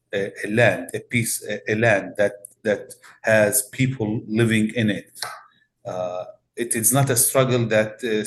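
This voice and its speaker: A man with a Palestinian accent speaks slowly and carefully, his voice sitting a little low. He pauses often and fills the gaps with soft "uh"s, choosing each word like a pastor giving a thoughtful answer in an interview. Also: slight background noise. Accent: Palestinian Arabic. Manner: measured, deliberate, many pauses and "uh" fillers.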